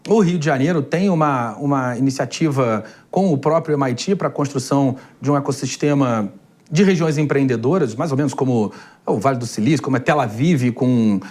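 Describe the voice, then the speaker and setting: A man hosting a Brazilian TV interview program, putting measured, formal questions to his guest. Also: mid-range voice, a little mellow. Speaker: TV program host.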